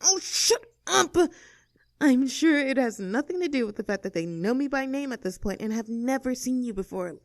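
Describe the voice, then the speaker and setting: A woman's voice: high-pitched, lively intonation, moderate pace, no room sound. A woman playing a cocky, rebellious young mean girl in an audio roleplay, snarky, bossy and self-assured as she talks to the listener. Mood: teasing.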